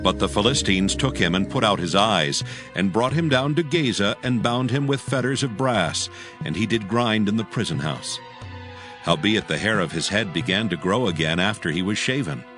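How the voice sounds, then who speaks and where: Male narrator for dramatized scripture: solemn, measured storytelling in a lower register over clear music. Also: animated.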